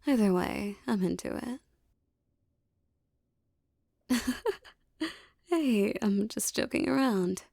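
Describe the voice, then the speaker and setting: Woman acting a creaky, mellow female mafia boss. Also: mid-range voice, slow, no room sound, studio quality. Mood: teasing, fond. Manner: intimate, teasing, streetwise tough talk. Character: tough young female mafia boss, affectionate with her lover. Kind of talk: ASMR roleplay acting.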